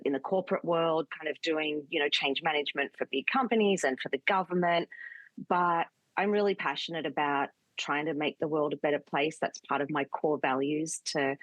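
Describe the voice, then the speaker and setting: Low-voiced Australian woman, earnest and dry. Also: no room sound, rough recording. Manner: earnest, eager, with fillers. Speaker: guest, communications consultant. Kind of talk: casual interview chat.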